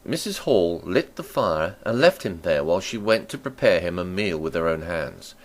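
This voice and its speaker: A man performs dramatic audiobook narration in a fairly low voice. His pitch moves widely, and his phrasing is theatrical and deliberate. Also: lively intonation.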